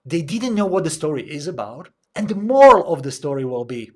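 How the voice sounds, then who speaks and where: Casting: young Slovak-accented male coach, slightly high voice, animated, acts out examples. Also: fairly quick. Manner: animated, acts out little dialogues, frequent filler sounds. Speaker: online business coach. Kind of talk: casual teaching monologue.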